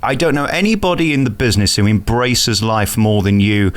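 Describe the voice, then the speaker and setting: A man interviewing a guest in a conversational, familiar and flattering way. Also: fairly low pitch, a little fast.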